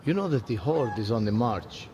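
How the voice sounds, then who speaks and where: A man's voice: medium pitch, animated, normal speed, noisy background, natural voice. An actor voicing a plain-spoken old townsman and trader in an adventure game. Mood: plain, wary. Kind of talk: video game voice acting. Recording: reverberant sound with faint music behind.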